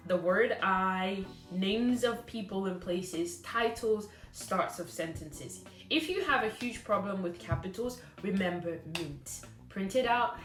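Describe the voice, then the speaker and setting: Woman, teacher-trainer lecture, blunt and scolding, repeats herself for emphasis; echoey room, soft music under. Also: medium pitch.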